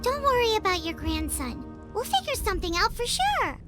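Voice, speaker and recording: A woman voicing a small, childlike, chirpy fairy companion with a squeaky voice in an anime game. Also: big pitch swings, moderate pace. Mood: reassuring. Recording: loud music underneath. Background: game music.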